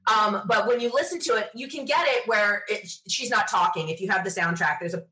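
A woman chatting casually as an interview guest, retelling things in an enthusiastic, animated manner. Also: medium pitch, fairly quick, poor audio. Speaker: podcast interview guest, sci-fi podcaster. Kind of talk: casual conversational storytelling.

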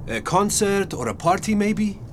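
An excitable male cabbie with a high, swooping voice. He is chatty and blunt yet kindly, in an audio drama with faint background noise. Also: moderate pace. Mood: kindly, helpful.

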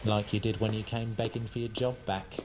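A man's voice: fairly low pitch, flat delivery, brisk, a little mellow, slight background noise, natural voice. A man playing a smarmy, callous British branch manager in an audio drama, talking in a smug and blunt way. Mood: smug, conspiratorial.

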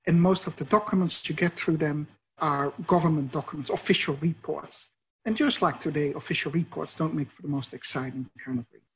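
A man's voice: a little high, fairly quick, breathy edge. A middle-aged man from the Netherlands with a Dutch accent, a professor answering interview questions in a thoughtful, explanatory way. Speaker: professor and researcher.

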